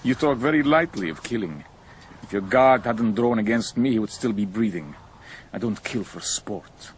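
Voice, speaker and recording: Low male voice, wry, measured, actor as dangerous controlled warrior, radio play, faint noise behind. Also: expressive, natural voice, low-quality recording. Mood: hushed command. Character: cool, sardonic male warrior intruder, dangerous yet controlled.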